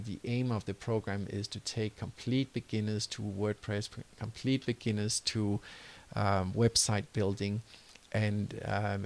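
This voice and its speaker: A man with a Danish accent talks a viewer through building a website, stopping to fix his own slips and starting over. His voice sits fairly low and has a gravelly edge. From Denmark. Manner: loose, self-correcting, apologizes for slips. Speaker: web design course instructor. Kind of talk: screen-recorded tutorial and course pitch.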